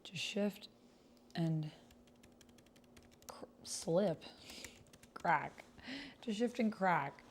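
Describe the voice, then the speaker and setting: A woman's voice: lowish voice, extremely slow, pauses often, slight background noise. A woman streamer chatting casually and thinking aloud as she plays and reads out a text-based roleplaying game. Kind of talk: casual livestream chat with typed roleplay read aloud.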